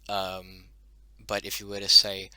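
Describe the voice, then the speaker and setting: A man whose first language is Dutch-derived talks through a lesson in loose, halting sentences full of ums and restarts. His voice sits fairly low but sounds very bright and forward. It comes across dry, with almost no room sound. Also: monotone, smooth, no echo. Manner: hesitant, many ums and self-corrections. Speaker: hobbyist teaching his invented language. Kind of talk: casual spoken lesson.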